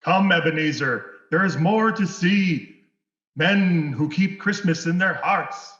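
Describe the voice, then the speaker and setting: A man's voice: on the high side, slow pace, a bit bright, soft background music. A man playing a stern, solemn Christmas spirit who makes pronouncements in a dramatic reading. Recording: echoey, dull video-call audio.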